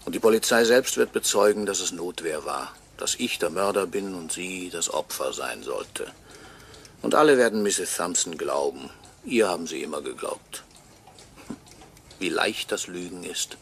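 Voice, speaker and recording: A very low, gravelly male voice, brooding and sardonic as a murdered man telling his own story. He speaks deliberately and bitterly, with faint noise behind him. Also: expressive, fairly bright tone, full character voice. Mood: bitter irony. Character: bitter murdered watchmaker's employee, narrating from beyond death. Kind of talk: German radio crime drama monologue.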